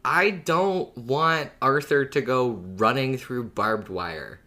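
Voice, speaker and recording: Very animated podcast co-host, mid pitch. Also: takes it slowly. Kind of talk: casual podcast chat.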